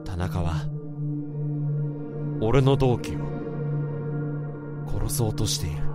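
An actor playing a troubled male office worker who narrates his own story in a radio drama, in a brooding, halting way. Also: on the low side, lively intonation. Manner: brooding, halting inner monologue. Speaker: narrator and protagonist. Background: music.

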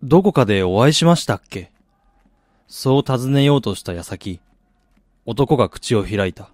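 A man's voice: medium pitch, expressive, normal speed, a little mellow, no echo, clean studio sound, lightly acted. An actor playing a weary, disillusioned man in a radio drama, with a brooding, self-deprecating way of talking. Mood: brooding, resigned. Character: weary, disillusioned man back in his dying hometown. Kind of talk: radio drama first-person narration and dialogue.

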